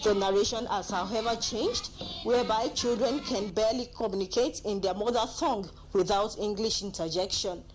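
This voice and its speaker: A woman's voice: mid-range voice, normal speed, soft background music, rough recording. A woman with a Nigerian accent reading a news report voice-over in a formal manner. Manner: formal, read-aloud delivery.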